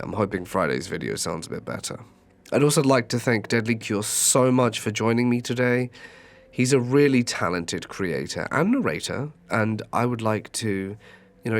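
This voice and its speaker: A man hosting a horror story channel, hoarse from a cold, narrating and then chatting through an apologetic outro. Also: on the low side, lively intonation, normal speed.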